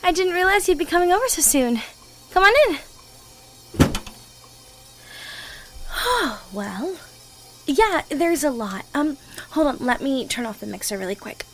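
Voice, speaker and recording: A woman's voice: sits high, very animated, moderate pace, slight background noise. A woman playing an affectionate, playfully bossy girlfriend in a one-sided ASMR roleplay, chatting and thinking aloud as she bakes. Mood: excited, welcoming. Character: bubbly, bossy, affectionate young girlfriend baking for Thanksgiving. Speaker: roleplay audio performer.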